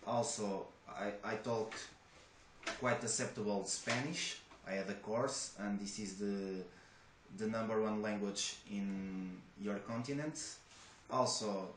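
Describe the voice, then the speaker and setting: Young Portuguese-accented man, low-ish voice, hesitant interview answers, roomy echo. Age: young adult. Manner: hesitant, many restarts and fillers. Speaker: job applicant. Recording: echoey room with faint noise.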